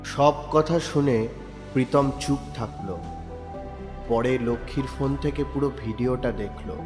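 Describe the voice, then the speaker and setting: A man's voice: mid-range voice, a little slow, big-room sound, over music. Man narrating a crime story.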